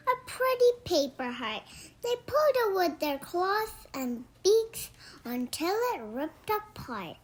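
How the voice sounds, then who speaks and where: Very high, animated, youthful female storyteller. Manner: animated, expressive reading. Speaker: children's book narrator.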